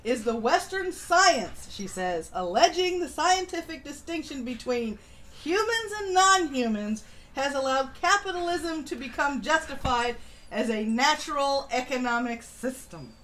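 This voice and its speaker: A woman's voice: high-pitched, lively intonation, unhurried, fairly bright tone, rough recording. A woman co-hosting a casual political live stream, reading aloud and reacting in a mocking, sardonic way. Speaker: live-stream co-host reading an article and chat comments. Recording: faint background noise.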